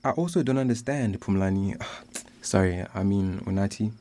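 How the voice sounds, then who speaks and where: An actor playing a calm, supportive young male teacher in a radio drama, with a South African accent. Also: fairly low pitch, normal speed, lightly acted. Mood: concerned.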